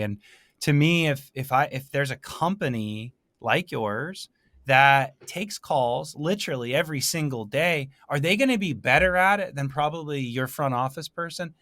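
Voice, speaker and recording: Halting male interviewer, dry close sound. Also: medium pitch, bright, forceful tone, no echo. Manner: halting, repeats and rephrases himself as he thinks aloud. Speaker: podcast host. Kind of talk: casual interview chat.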